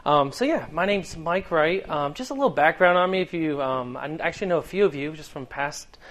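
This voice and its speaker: A man's voice: on the high side, expressive, fairly quick, a bit bright. A man in his thirties from Delaware with a Boston accent, preaching a sermon in an earnest, conversational way with frequent ums. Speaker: guest preacher.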